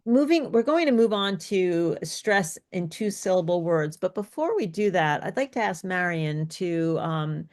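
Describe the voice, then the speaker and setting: A woman's voice: on the low side, lively intonation, unhurried, few pauses, a little mellow. A woman speech coach leading an online workshop, explaining and praising participants in an encouraging way. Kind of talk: interactive online workshop teaching.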